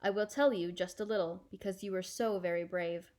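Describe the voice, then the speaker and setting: A woman playing a calm, reassuring fisherman uncle in a storybook, with a measured, explanatory manner. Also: mid-range voice, close to an everyday voice. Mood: kindly, firm.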